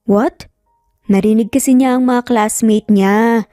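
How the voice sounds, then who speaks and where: A woman's voice: on the high side, fairly even intonation, takes it slowly, mellow, no echo, clean studio sound, soft background music. A woman voicing a mischievous, easygoing young man with a playful, joking manner. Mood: amused. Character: mischievous, easygoing male cousin, young adult.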